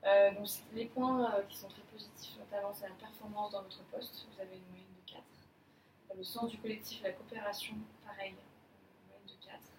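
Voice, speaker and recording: A woman's voice: medium pitch, steady tone, moderate pace, natural voice. A woman playing a courteous manager in a mock job appraisal, speaking formally but with frequent fillers. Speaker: manager in an appraisal role-play. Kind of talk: amateur role-play of a job interview. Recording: echoey room, mic far off, clear noise.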